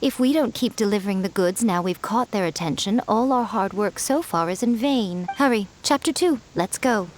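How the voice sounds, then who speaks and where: A woman's voice: mid-range voice, expressive, normal speed, hardly any pauses, slight background noise, lightly acted. An actress voicing a sly, self-assured fox-spirit woman in a video game, teasing and worldly as she mentors. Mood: approving. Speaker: publisher and mentor.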